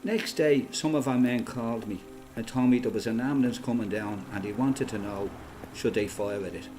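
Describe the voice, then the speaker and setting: A man with an Irish accent playing a resolute, plain-spoken freedom fighter giving a matter-of-fact, measured first-person testimony in a radio play. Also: medium pitch, over music, lightly acted. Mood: matter-of-fact recollection.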